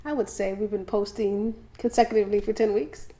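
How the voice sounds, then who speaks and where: A young woman from Haiti co-hosting a casual chat with her husband; she laughs often and gently corrects him. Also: mid-range voice, steady tone, brisk. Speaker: podcast co-host. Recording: echoey room.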